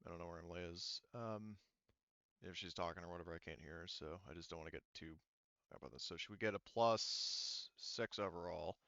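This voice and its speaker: Guy game mastering a tabletop rpg, lowish smooth voice, kinda lo-fi mic, thinks out loud. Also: a little fast, no room sound. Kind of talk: running a tabletop roleplaying game, casual.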